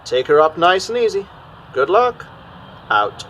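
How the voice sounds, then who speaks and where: A man's voice: sits high, big pitch swings, a little slow, a bit bright, exaggerated voice, low-quality recording. A man playing an air traffic controller in an amateur radio play, with clipped procedural phrasing. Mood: calm.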